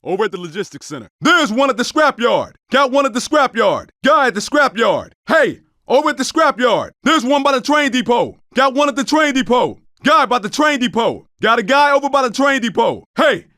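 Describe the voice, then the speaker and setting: An actor playing an undercover cop in a video game, giving short, clipped tactical callouts. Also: male voice, very high-pitched, sing-song, moderate pace, hardly any pauses, pushed and bright, no echo, studio quality, heavily acted. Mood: low, guarded. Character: gruff, alert male undercover cop in gunfight. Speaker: video game player character.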